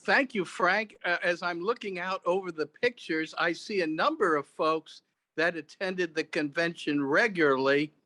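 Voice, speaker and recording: A man's voice: sits high, moderate pace, pushed and bright. A man offering casual remarks to a group on a video call.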